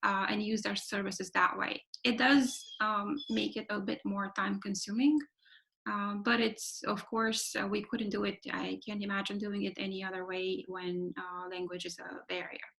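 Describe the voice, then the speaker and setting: A woman talks through her practice on a webinar call. Her voice is bright and crisp with a gravelly fry at the ends of phrases. She keeps pausing on "um" and "uh" and tags her points with "right?". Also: medium pitch, flat delivery, poor audio. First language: Romanian. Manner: explanatory, frequent "right?" tags and fillers. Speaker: clinical evaluator giving a webinar talk. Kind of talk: webinar presentation. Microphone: video call.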